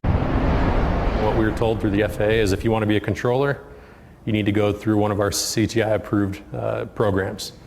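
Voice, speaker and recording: A man's voice: lowish voice, flat delivery, normal speed, vocal fry, noisy background. A young man answering interview questions as a recent graduate. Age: young adult. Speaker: air traffic control graduate being interviewed.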